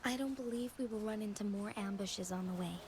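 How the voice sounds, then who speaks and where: A woman voicing an earnest, reassuring young female companion in a video game, with a gentle manner. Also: mid-range voice, a little fast, close to an everyday voice. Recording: clear background ambient noise.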